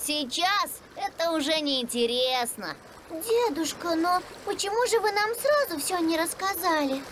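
A woman playing an excitable, plucky child hero in a dubbed children's adventure cartoon, exclamatory and animated. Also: very high voice, measured pace, slight background noise, full character voice. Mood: baffled. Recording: loud music under the voice.

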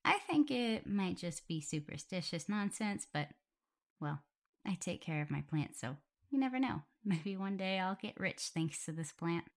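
A woman acts out a tender omega werewolf girl in an intimate ASMR roleplay. Her voice is fairly low for a woman, with some fry in it. She speaks softly and soothingly, with a caring, playful and slightly hesitant manner. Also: very animated, normal speed. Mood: chatty, playful. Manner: soft, soothing, hesitant, giggly. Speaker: audio roleplay performer. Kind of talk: soft ASMR roleplay, intimate one-sided dialogue.

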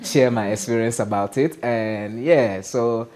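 A man's voice: mid-range voice, measured pace, slight background noise. A man with a Nigerian accent, a stand-up comedian talking casually as a guest in a TV studio interview. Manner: animated, gestures a lot, asks "you understand?". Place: TV studio set.

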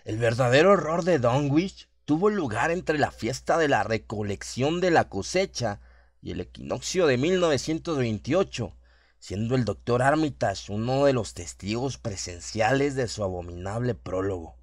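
A man narrates a horror radio play in a medium-pitched voice. The recording is dry, with very little room sound around him. His delivery is that of scripted, dramatic storytelling. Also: expressive, moderate pace, no echo.

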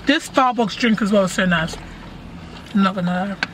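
A woman's voice: medium pitch, moderate pace, slight background noise. A young woman chatting playfully with a friend while filming a vlog inside a car, laughing and teasing. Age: young adult. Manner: playful, sings along to music, laughs often. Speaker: vlogger. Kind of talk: casual car vlog chat. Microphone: dashboard camera. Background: music playing in the car.

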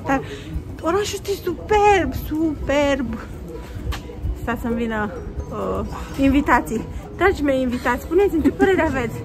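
A woman in her thirties vlogging on a phone held at arm's length while she walks city streets and a Christmas market, chatty and playful. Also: high-pitched. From Romania. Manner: playful, chatty, mock-formal with guests. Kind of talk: casual vlog chat. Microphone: phone camera held at arm's length. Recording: loud crowd and music behind. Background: loud crowd and music.

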